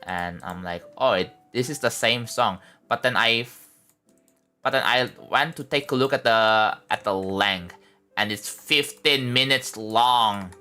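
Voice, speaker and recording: A man's voice: lowish voice, pushed and bright, clean tone. A young man chatting casually on a live stream at home, rambling and laid-back, saying like and bro a lot. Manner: laid-back, rambling, many likes and bros. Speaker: livestreamer building a game level.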